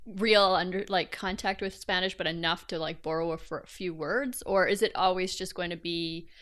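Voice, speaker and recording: A woman's voice: lowish voice, fairly even intonation, normal speed, fairly bright tone. A woman co-hosting a podcast, eager and agreeable, asking follow-up questions in casual chat. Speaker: podcast co-host.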